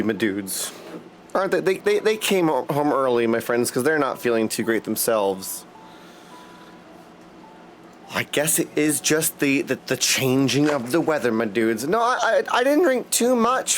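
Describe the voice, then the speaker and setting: A man's voice: mid-range voice, lively intonation, a little slow, a little hiss or hum. A man chatting casually and playfully on a game livestream, teasing himself with silly words. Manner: playful, self-teasing, goofy wordplay. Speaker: game streamer. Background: video game music.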